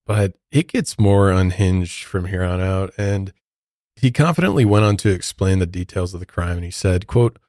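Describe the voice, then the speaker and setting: A man's voice: low-pitched, normal speed, a little mellow, no echo, studio quality. An American man hosting a true crime podcast, narrating and commenting in a casual, conversational, disapproving way. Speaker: podcast host.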